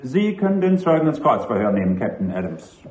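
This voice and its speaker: A man's voice: mid-range voice, sing-song, brisk, a little mellow, close to an everyday voice. Official army judge guy acting, formal, lots of room echo, muffled radio drama audio. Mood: formal, stern. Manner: formal, procedural, authoritative. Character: official presiding military judge of a court-martial. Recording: echoey, noisy, muffled old radio recording.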